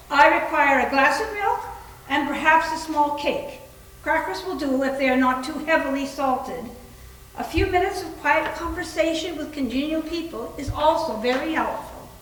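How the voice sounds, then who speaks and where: A high-voiced, domineering doctor's wife acted by a woman in a stage reading, impatient and pompous. She gives brisk orders as a self-important spiritualist, heard from a distance in a very echoey space. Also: a bit bright, a little hiss or hum. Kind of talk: readers theater stage reading. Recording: echoey room, mic at a distance.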